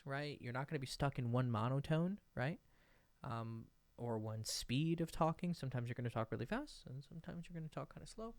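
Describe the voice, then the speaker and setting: Dating coach guy rambling loose and animated, bit of gravel in his voice, mid pitch. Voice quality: describes own voice as fairly high-pitched. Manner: loose and animated, acts out example dialogue. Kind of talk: casual advice monologue to camera. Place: indoors, sitting in a rocking chair.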